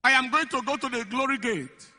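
A man preaching a sermon, stressing key phrases and repeating them slowly. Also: extremely high pitch, bright, forceful tone. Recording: echoey hall.

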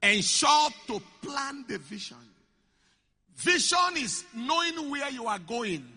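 A man preaching a sermon, stressing key phrases and repeating them slowly. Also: extremely high pitch, very animated, pushed and bright. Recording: echoey hall.

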